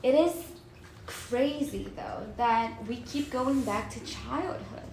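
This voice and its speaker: Podcast co-host: young Brazilian woman, native Portuguese speaker, high voice, animated and giggly, roomy sound with some noise. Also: slow. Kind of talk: casual chat between friends. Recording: roomy echo with faint background noise.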